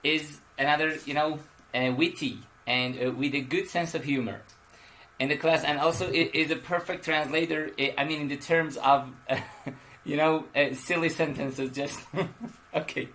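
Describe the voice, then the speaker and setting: A man's voice: on the high side, fairly even intonation, moderate pace, fairly bright tone, low-quality recording. Hesitant man running an online class. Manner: hesitant, frequent fillers. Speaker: English teacher. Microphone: video call.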